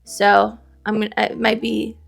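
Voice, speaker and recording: A woman's voice: mid-range voice, monotone, unhurried, creaky, slightly rough, soft background music. A woman co-hosting a casual book chat, candid and playfully dramatic in informal slang. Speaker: co-host of a book discussion video. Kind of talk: casual chat, trading confessed book opinions.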